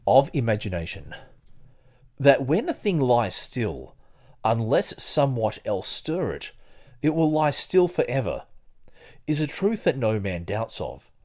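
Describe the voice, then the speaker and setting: A man hosting a podcast, talking casually and haltingly as he thinks aloud or reading from a book. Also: mid-range voice, very animated, darkish tone. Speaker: podcast host.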